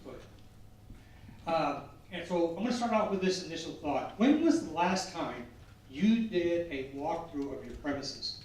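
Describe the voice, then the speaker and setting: Halting man addressing a business crowd. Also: fairly high pitch, animated, a little mellow. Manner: halting, many fillers. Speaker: sheriff's office speaker. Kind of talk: community presentation. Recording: echoey room, far from mic.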